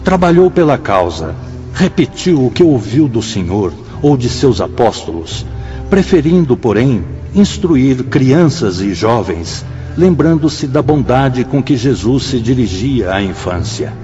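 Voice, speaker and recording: Solemn Brazilian spirit mentor over music. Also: male voice, medium pitch, big pitch swings. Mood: solemn, reverent. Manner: solemn, declamatory, measured. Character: solemn, reverent male spirit mentor presenting teachers. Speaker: radio drama actor. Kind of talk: solemn radio drama narration. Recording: dull radio drama mix under loud music.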